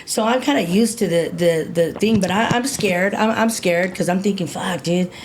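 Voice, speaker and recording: A woman in her fifties telling a gritty personal story in a casual interview, animated, repeating herself and swearing freely. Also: fairly low pitch, moderate pace, slight background noise. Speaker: interview guest.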